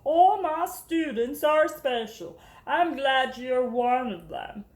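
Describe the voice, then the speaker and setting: A woman plays a gentle storybook schoolteacher. She is high-pitched and steady, drawing out her words with patient kindness. The room around her echoes. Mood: gentle, reassuring. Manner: slow, measured, gentle. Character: kind, steady woman substitute teacher.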